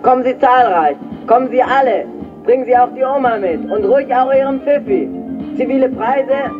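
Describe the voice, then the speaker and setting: An actor voices a resourceful youngster leading a band of friends in a radio play. The voice is confident and medium in pitch, with music clearly behind it. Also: lo-fi sound, natural voice. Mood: announcing, festive.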